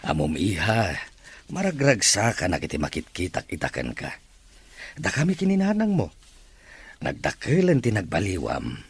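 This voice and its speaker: A man with a Filipino accent and a rough, husky voice acting an affectionate older father in a radio drama. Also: low voice, wildly swooping pitch, normal speed, long pauses, dry recording, exaggerated voice. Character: proud, affectionate older father of college student.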